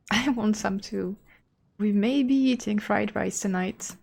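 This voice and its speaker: A woman's voice: mid-range voice, slow pace, gravelly, darkish tone, breathy edge. A woman from Europe streaming a game, talking casually as she comments on what she plays. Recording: game music behind the voice. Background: game music.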